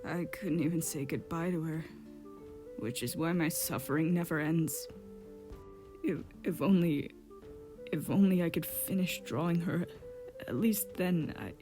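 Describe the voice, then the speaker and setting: A woman playing a melancholy exiled male court painter who tells his story in a measured, wistful way, in a fan-dubbed drama. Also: low voice. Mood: sorrowful, shaken. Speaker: voice actor in a fan dub. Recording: music behind the voice. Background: instrumental music.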